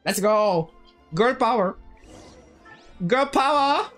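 A woman's voice: on the high side, lively intonation, takes it slowly. Playful woman streamer over faint music. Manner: playful, slangy. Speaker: game streamer. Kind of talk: casual live reaction commentary. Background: game music.

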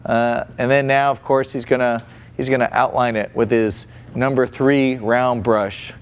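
A chatty man in his forties walks through a face painting demo in a relaxed, folksy way, often restarting his sentences. His voice is smooth and clear, at a middle pitch, in a small indoor room. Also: measured pace. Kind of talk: casual demonstration commentary.

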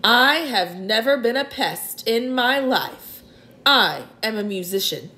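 A woman voicing an old, dignified male grasshopper who speaks slowly and scornfully in a storybook reading. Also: medium pitch, animated, a bit bright. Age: older. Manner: slow and scornful.

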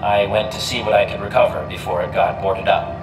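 A man playing a tender young best friend in a video game scene, speaking sincerely, gently and reflectively. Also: lowish voice, brisk, close to an everyday voice. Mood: heartfelt, wistful. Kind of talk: video game cinematic acting. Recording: echoey reverberant playback, music behind.

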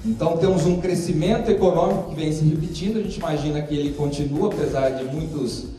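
Lecturer, assertive, mellow; mic far off in a roomy hall. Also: male voice, a little high, quick pace, faint music behind.

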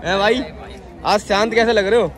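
A young man vlogging from a street market on his phone, chatty and excited, then talking at home. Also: sits high, normal speed, a crowd in the background. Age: young adult. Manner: excited, chatty, keeps saying "bhai". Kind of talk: casual market vlog. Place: crowded outdoor street market, later a bedroom. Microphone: phone held at arm's length.

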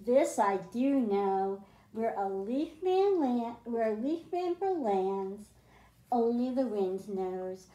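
A middle-aged woman with a slightly high voice leads a storytime for young children. She goes slowly, in simple and deliberate phrases. The indoor room around her adds a clear echo. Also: very animated, warm tone, lo-fi sound. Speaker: children's librarian, storytime host. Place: small library room with bookshelves, indoors. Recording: roomy, echoing indoor sound.

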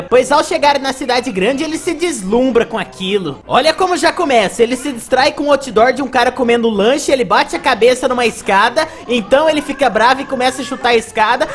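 A woman with a Brazilian accent narrating video game play as a reviewer, with music behind. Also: high voice. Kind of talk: gameplay commentary narration.

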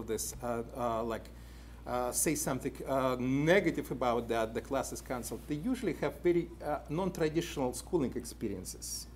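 Lively Russian-accented man lecturing. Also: fairly high pitch, a little hiss or hum. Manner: animated, many "uh" fillers, questions to the audience. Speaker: conference speaker. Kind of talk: lecture to a live audience. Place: indoor meeting room at a podium.

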